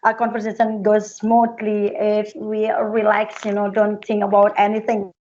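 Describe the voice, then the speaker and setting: A woman's voice: mid-range voice, monotone, moderate pace, darkish tone. A woman hosting an online English conversation group, encouraging the guests to relax, speaking simple, non-native English. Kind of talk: casual chat. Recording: muffled online call.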